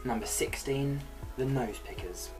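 Young man, medium pitch, music behind. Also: unhurried, low-quality recording. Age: young adult. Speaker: video host. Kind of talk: comedic numbered list to camera. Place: small bedroom.

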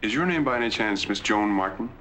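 An actor playing a reserved, lonely, well-off middle-aged gentleman in a 1940s film, speaking tersely. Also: lowish voice, lively intonation, fast. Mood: polite. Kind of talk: 1940s film noir acting. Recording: noisy old 1940s film soundtrack.

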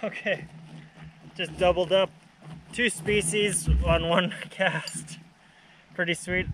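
Upbeat man narrating creek fishing. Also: high-pitched. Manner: excited, upbeat commentary on catches. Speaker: fishing channel host. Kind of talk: casual outdoor fishing vlog. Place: outdoors by a creek. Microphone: action camera. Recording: outdoor camera audio with loud stream noise. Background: rushing creek water.

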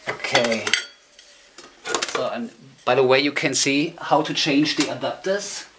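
A man's voice: mid-range voice, unhurried. Hesitant German man, noisy recording. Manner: hesitant, self-conscious, searching for English words. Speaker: hobbyist demonstrator. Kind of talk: casual hands-on demonstration. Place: indoor room, beside tape machines. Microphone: camera microphone. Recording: noisy amateur camera sound with clicks.

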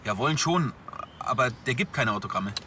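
An eager, naive Bavarian policeman in a TV comedy drama. His bright, animated voice tumbles out excitably, over faint background noise. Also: mid-range voice, fairly quick. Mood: admiring.

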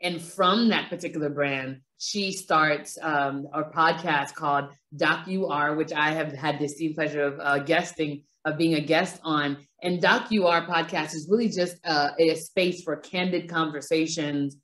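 A woman's voice: low-pitched, fairly even intonation, unhurried, hardly any pauses, a bit bright. A woman with a Caribbean accent hosting a podcast from a small room over a video call, talking with many fillers and restarts. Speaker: podcast host. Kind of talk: podcast introduction and interview. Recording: roomy echo.

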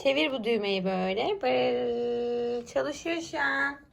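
Mother at play with a small child: woman, very high bright voice, coaxing, casual, slightly noisy home recording. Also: animated, moderate pace, lo-fi sound. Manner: coaxing, encouraging, asking simple questions. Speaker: mother playing pretend with her young son.

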